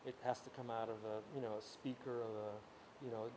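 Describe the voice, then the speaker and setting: A man's voice: mid-range voice, little pitch movement, fairly quick, a little mellow, a little hiss or hum, low-quality recording. A man teaching a casual workshop class on sound, explaining at length with many restarts and fillers. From Ukrainian-speaking background. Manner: explanatory, rambling, many restarts and "you know"s. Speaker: music teacher. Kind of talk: informal workshop lecture. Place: workshop room at a camp.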